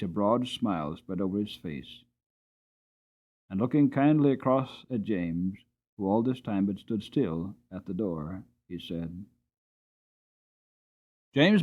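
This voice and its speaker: A man's voice: on the low side, phone-quality sound. A man narrating a story as a dramatic audiobook reader.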